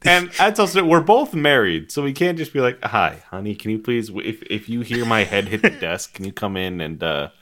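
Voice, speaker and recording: Male podcast co-host, booming and low, very lively intonation, loose comedic banter, happy to swear. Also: normal speed, hardly any pauses. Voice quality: booming, loud voice. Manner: animated, profane, jokey. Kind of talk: casual comedic chat.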